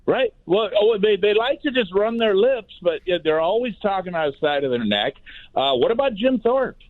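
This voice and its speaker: A man's voice: high voice, very animated, moderate pace, no echo. A man calling in to a talk show, chatting in a casual, opinionated, rambling and colloquial way full of anecdotes. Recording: thin phone-like line.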